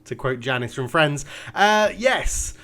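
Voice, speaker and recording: A man's voice: on the high side, animated, slow pace, a bit bright. A man, congested and nasal from a cold, chatting casually through his football management game. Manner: chatty and rambling, self-mocking. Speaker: game commentator. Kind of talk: casual gaming commentary.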